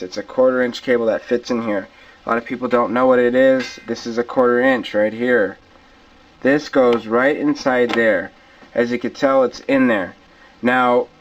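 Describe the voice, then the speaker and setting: A man hosting an informal hands-on gear tutorial, explaining step by step in colloquial language and repeating key points. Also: mid-range voice. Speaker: tutorial host. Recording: distant mic, dull lo-fi camcorder sound.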